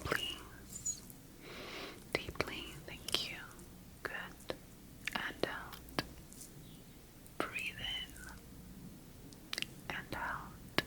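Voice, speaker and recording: A woman playing a calm, clinical scientist in a whispered ASMR sci-fi medical roleplay, soft, soothing and methodical. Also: extremely high pitch, full character voice. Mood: gently instructing. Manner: soft, soothing, methodical murmuring to herself and patient. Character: calm, clinical female scientist examining her creation.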